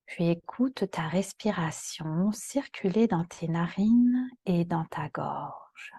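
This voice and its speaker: A woman yoga teacher guiding a relaxation meditation in a calm, soothing, soft voice that often turns breathy. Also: lowish voice, no room sound, studio quality. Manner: calm, soothing, gentle instructions with long pauses.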